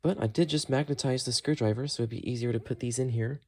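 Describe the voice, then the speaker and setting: A man with an average-pitched voice hosts a hands-on tutorial. He talks casually and thinks aloud as he works, explaining each step in an unscripted way. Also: quick pace, a little mellow, a little hiss or hum. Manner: thinks aloud while working. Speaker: host of a repair tutorial.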